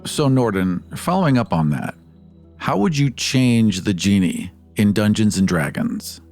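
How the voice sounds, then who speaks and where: A man's voice: on the low side, expressive, measured pace, darkish tone, soft background music. A man hosting a podcast, asking questions in casual conversation. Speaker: podcast host. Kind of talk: casual interview chat.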